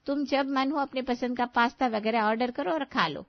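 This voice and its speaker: An indulgent older mother from a storybook, put on by a woman, fondly scolding in a high pitch. The recording is a bit dull. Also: moderate pace, dry recording.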